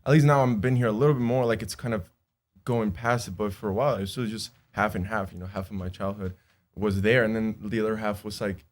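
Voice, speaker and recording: A man's voice: lowish voice, fairly even intonation, fast talker. A man in his twenties from Honduras, a native Spanish speaker, chatting as a podcast guest, with lots of fillers and frequent laughs. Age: 20s. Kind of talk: casual podcast chat.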